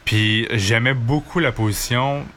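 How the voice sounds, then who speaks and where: Bright-voiced Quebec man telling stories casually. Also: medium pitch, unhurried, slight background noise. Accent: Quebec French. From Quebec, Canada. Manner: informal, colloquial. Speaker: interview guest, hockey goaltender. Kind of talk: casual conversational storytelling.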